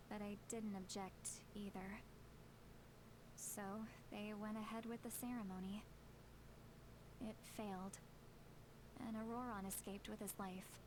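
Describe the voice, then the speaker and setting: An eccentric, powerful elder of a tribe in a video game, played by a woman. She talks frankly and reflectively, proud yet quick to put herself down. Her mid-pitched voice sits over clear ambient background noise. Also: natural voice.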